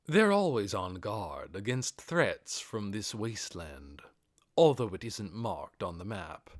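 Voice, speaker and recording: Male reader as fantasy audiobook undead overlord: low, measured, commanding, explanatory. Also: sing-song, dry recording, studio quality, full character voice. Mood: serious, explaining.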